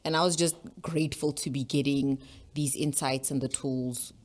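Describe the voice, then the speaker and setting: Low-voiced young South African woman guest. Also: moderate pace. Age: young adult. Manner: relaxed, reflective, tells her story steadily. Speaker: guest, leadership coach. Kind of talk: interview storytelling.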